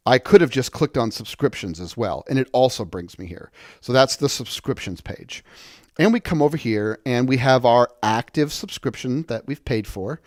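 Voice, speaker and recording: A man giving an unscripted software walkthrough, explaining step by step and often rephrasing his points. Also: mid-range voice, no echo, clean studio sound. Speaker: software tutorial presenter. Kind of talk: unscripted screen-share walkthrough.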